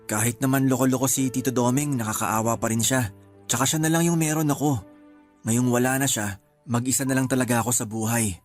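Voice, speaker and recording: An actor plays a bereaved young Filipino man, lonely, earnest and plain-spoken. It is colloquial radio drama acting in a medium-pitched male voice, over faint music. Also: fairly even intonation, fairly quick, lightly acted. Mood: sorrowful. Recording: music underneath.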